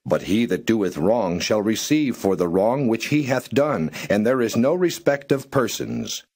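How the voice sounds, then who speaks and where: A man reading Bible verses aloud in a slow, measured way, pausing between phrases. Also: fairly low pitch, expressive, a little mellow, slightly rough. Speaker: scripture reader.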